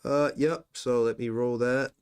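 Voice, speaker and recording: A man's voice: mid-range voice, steady tone, unhurried, darkish tone, clean tone. A man acting as game master, narrating combat and ruling on dice rolls in loose, chatty talk full of "you know". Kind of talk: running a tabletop game, casual narration.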